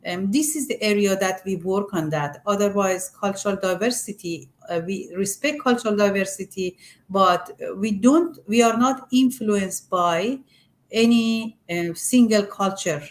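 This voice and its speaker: Middle aged persian accented woman in an interview, medium pitch, keeps stopping to search for words. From Iran. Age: middle-aged or older. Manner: halting, searching for words in non-native English. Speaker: arts group representative being interviewed. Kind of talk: interview, explaining her work.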